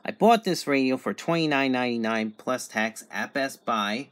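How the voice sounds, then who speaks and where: A man giving a casual unboxing and review narration in a halting, methodical way, in short phrases. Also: medium pitch, a bit bright, dry recording. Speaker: product reviewer.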